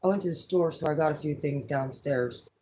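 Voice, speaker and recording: A hoarse middle-aged woman chatting casually to her viewers as an astrology reader, rambling and blunt with lots of fillers. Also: low-pitched, fairly quick, mellow, a little hiss or hum. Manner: rambling and blunt, with frequent fillers and some swearing. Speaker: astrology reader and channel host.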